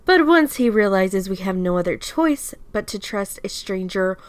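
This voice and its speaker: A woman voicing a calm, sensible, sardonic female wolf with a polite, deliberate manner. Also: medium pitch, clear, resonant tone, no room sound, close to an everyday voice. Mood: dry patience.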